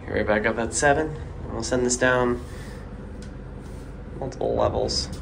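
A man talks through his elevator ride in a plain, matter-of-fact way, filming on a handheld phone. His medium-pitched voice sits over clear background noise from the parking garage. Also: fairly even intonation, a little slow. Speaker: elevator video host. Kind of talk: casual narration while filming. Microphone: handheld phone camera.

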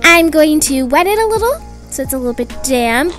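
A young woman acting out a children's roleplay story in a gentle, reassuring, sing-song way. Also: high-pitched, sing-song, fairly quick, faint music behind. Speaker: video creator voicing characters.

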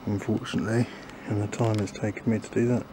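Low-voiced man musing in his garden. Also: level tone, fast. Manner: musing, self-doubting, chatty, trails off. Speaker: gardening vlogger. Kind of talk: casual thinking-aloud narration while gardening. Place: small backyard garden outdoors. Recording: noisy outdoor ambience.

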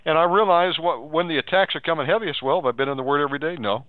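A man with a slightly high voice counsels as a radio preacher, exhorting with repeated phrases and leading questions. The sound is dry and narrowed like a telephone line. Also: fast talker, dry recording. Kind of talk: live pastoral counselling on a radio show. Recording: tinny phone-like radio audio.

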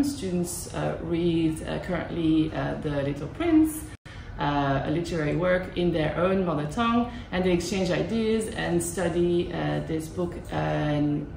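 A woman in her thirties, a school language program coordinator, answering interview questions in a measured way with many fillers, seated in a classroom. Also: fairly low pitch, gravelly, darkish tone, slight background noise. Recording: echoey classroom with music behind.

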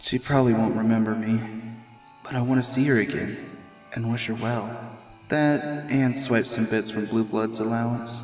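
A man's voice: mid-range voice, fairly even intonation, normal speed, faint music behind, natural voice, poor audio. A man voicing a self-pitying, sardonic young male pony in a cartoon comic dub. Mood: wry, resigned. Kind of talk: comic dub, dramatic monologue and dialogue.

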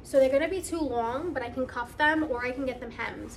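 Higher-voiced excited woman chatting, some noise. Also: a little fast. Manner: gushing, excited, conversational. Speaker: vlogger. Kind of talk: casual unboxing chat to camera.

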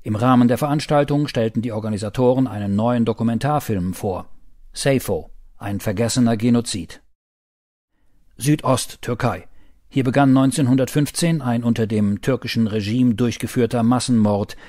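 Casting: male voice-over for documentary reportage, soft dark timbre, measured read, dry studio-style recording. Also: medium pitch, no room sound. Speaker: voice-over narrator. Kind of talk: scripted reportage narration and voice-over.

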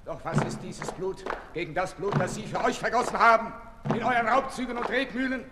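A man's voice: sits high, expressive, brisk. An actor playing a bitter, accusing revolutionary man, declaiming like an orator in a 1960s radio drama. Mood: indignant. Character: fervent, accusing male revolutionary, bitter and relentless. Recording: 1965 radio play, long reverb, loud noise.

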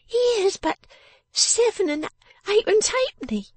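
A woman voicing a sharp-tongued, bossy, vengeful young woman in a storybook, with emphatic, snapping speech. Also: extremely high pitch, animated, takes it slowly, no echo, voice pushed up, heavily acted. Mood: snapping, scolding. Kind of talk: dramatised storybook reading.

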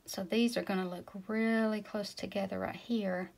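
Woman from Tennessee, painting teacher, gravelly edge, mid-pitched, relaxed and chatty, recorded over a work table. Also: normal speed. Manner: relaxed, talks while painting, drops to a whisper at times. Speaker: painting instructor. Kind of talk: casual step-by-step tutorial.

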